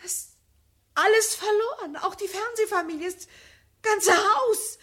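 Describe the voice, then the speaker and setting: An actress playing a petulant, shallow, anxious wife hooked on television, in a 1970 German radio drama. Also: female voice, very high-pitched, measured pace, a bit bright. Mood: panicked, tearful. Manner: petulant, agitated, repeating words.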